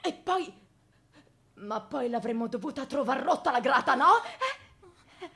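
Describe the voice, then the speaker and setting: A theatrical old spinster, very high and wildly swinging in pitch. She lurches from doting coos to stern suspicion in a grotesque radio play style, drawing her words out slowly. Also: expressive, gravelly, bright, forceful tone, exaggerated voice. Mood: fawning, flustered. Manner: theatrical, swooping between coos and cries.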